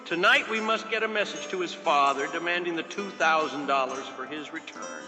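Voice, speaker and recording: A man's voice: on the high side, expressive, normal speed, natural voice. Storybook schemer, played by a male reader: grown crook, cool-headed, commanding, dry folksy talk, music underneath. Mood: reassuring. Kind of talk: storybook character voice. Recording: long reverb, music behind the voice.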